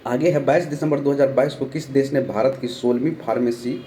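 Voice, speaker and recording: A man's voice: mid-range voice, fairly even intonation, brisk, dark tone, slight background noise. Bihari man with a sore throat teaching. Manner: reads a question then gives the answer, repeats key names. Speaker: online exam-prep teacher. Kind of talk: current-affairs quiz lesson.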